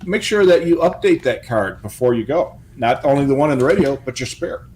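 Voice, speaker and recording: A man co-hosting a hobby show, giving practical tips in casual, halting speech with stammered pauses. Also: medium pitch, lively intonation, darkish tone, slight background noise, rough recording.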